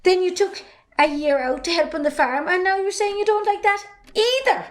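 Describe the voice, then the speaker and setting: Woman playing a high-voiced, outspoken Irish daughter. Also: normal speed. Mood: teasing, chiding. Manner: assertive, chiding. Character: outspoken, independent young adult farmer's daughter. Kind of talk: amateur stage drama acting.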